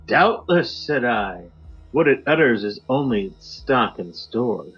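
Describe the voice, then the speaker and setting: A man co-hosting a podcast, giving a theatrical poetry reading that swings between loud highs and hushed drops. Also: sing-song, takes it slowly, slightly rough. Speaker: podcast co-host. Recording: slightly muffled with faint music.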